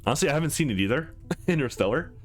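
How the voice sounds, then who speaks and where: A man's voice: on the high side, expressive, racing. A man hosting a visual novel let's play, reading aloud with some commentary of his own over music.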